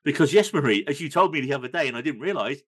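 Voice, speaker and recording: A man's voice: on the high side, lively intonation, rapid-fire, husky. A man hosting a podcast, chatting about films in a rambling, enthusiastic way with plenty of fillers. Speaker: podcast host. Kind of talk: casual film review chat.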